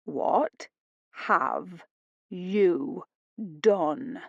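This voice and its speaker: A woman's voice: medium pitch, fairly even intonation, normal speed, dry recording, close to an everyday voice. A woman voicing an angry, commanding nobleman for an audiobook, raging and barking orders. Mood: cold calm. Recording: dull lo-fi audio.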